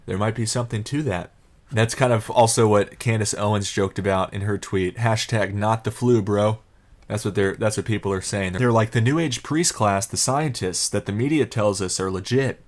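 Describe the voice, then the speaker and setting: A man talks through the news as a commentator. His voice sits fairly low, and he speaks in a conversational way straight to the audience. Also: moderate pace.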